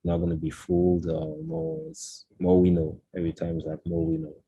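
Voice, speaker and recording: A man talks in a relaxed interview as a design guest. His voice sits low, dark and slightly husky on a dry, close mic. He stops and restarts often, reaching for words in English. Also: no echo, poor audio. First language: French. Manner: halting, searching for words, many "uh"s. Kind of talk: casual interview chat.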